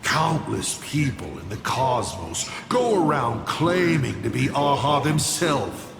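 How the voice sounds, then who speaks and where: A theatrical game villain, smug and scheming. He draws his words out slowly and swings widely in pitch as he teases. The voice has a roomy echo, with music playing underneath. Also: big pitch swings, vocal fry, full character voice. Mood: smug, explanatory. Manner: theatrical, teasing, drawn-out delivery with big swoops. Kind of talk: video game voice acting.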